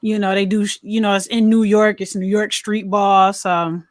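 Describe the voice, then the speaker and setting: A woman's voice: mid-range voice, level tone, moderate pace, no room sound, clean studio sound. A woman co-hosting a casual film review chat, talking in an informal, slangy, conversational way. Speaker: podcast co-host.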